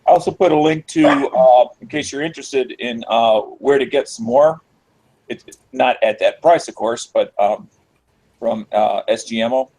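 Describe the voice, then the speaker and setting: A man's voice: mid-range voice, moderate pace, darkish tone, rough recording. A man in casual group chat, easygoing and hesitant with fillers.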